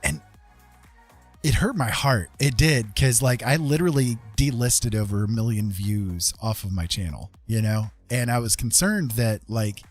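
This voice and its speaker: A man's voice: fairly low pitch, lively intonation, measured pace, slightly rough, soft background music. A middle-aged man chatting casually and self-deprecatingly as a livestream host, in a rambling, conversational way. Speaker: livestreamer hosting an art tutorial and chat. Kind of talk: casual chat to a live chat room.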